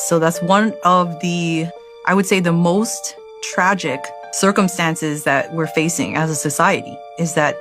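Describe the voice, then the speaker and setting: A woman answering interview questions as an expert, firm and explanatory. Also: on the low side.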